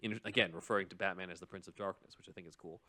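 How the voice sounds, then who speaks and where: A man's voice: low-pitched, steady tone, very fast, sharp tone. A man hosting a podcast, explaining a film story in casual chat with an analytical, enthusiastic fan's manner and casual asides. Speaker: podcast host.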